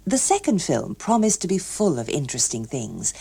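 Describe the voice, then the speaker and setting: A woman's voice: sits low, big pitch swings, moderate pace, vocal fry, warm tone, breathy edge. A woman with an English accent reading a story expressively as an audiobook narrator.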